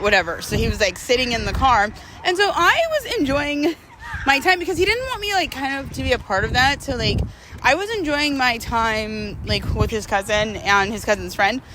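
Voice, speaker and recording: Young female vlogger, higher-pitched and bright, slangy casual storyteller, some street-like noise behind her. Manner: informal and slangy, with frequent hedging asides. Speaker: vlogger telling a personal story.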